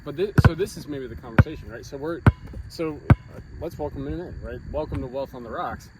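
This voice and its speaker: A man's voice: medium pitch, fairly quick. Male podcast co-host, mid-range voice, loose off-the-cuff banter, recorded outdoors with heavy traffic and ball-bounce noise. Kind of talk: unscripted casual banter between co-hosts. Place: outdoor basketball court. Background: vehicles, bouncing basketball.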